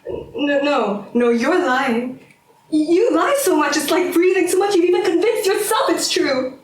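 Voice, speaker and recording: A woman acting a bitter, menacing academic wife in a stage drama, theatrical and sardonic, swinging into shouts. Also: fairly high pitch, slow. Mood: furious, taunting. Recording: roomy, distant mic, faint noise.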